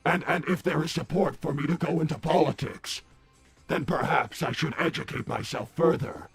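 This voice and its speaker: A man voicing an earnest, polite robot on a comedic livestream, speaking formally and haltingly with repeated words. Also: on the high side, vocal fry, breathy edge, soft background music, full character voice.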